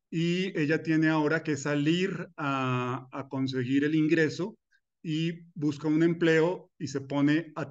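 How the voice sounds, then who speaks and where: Man from Colombia, middle-aged, finance instructor, earnest, filler-heavy, slightly high voice. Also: dull audio. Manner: earnest, personal, with frequent "eh" fillers and restarts. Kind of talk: recorded masterclass lecture.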